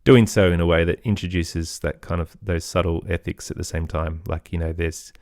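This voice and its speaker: A man's voice: sits low, fairly even intonation, normal speed, a little mellow, dry recording, studio quality. A middle-aged man giving thoughtful, earnest answers as an interview guest in a conversational way. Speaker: interview guest, podcaster and musician.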